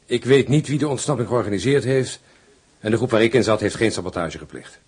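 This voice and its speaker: A man playing a husky defiant prisoner. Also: on the low side, brisk, a little mellow. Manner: curt, defiant, then flustered. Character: defiant male prisoner under interrogation. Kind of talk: radio play acting.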